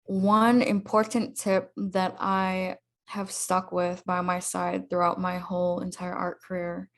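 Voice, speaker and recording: A woman's voice: on the low side, level tone, slow pace, vocal fry. A young woman, a guest artist on a podcast, earnestly giving advice and repeating key phrases. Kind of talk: casual interview chat.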